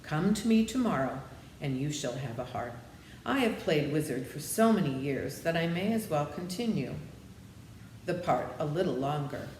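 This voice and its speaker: A woman's voice: sits low, expressive, normal speed, a little mellow, roomy sound, slight background noise, poor audio. A woman voicing an old humbug wizard in a storybook, meek and apologetic, explaining things kindly.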